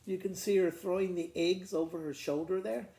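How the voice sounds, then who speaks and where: Creaky-voiced man storytelling, roomy echo. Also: high-pitched, fairly even intonation, normal speed, dark tone. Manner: simple, conversational retelling addressed to children. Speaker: school principal reading to pupils. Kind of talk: informal children's storytelling with picture commentary. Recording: roomy echo, faint background noise.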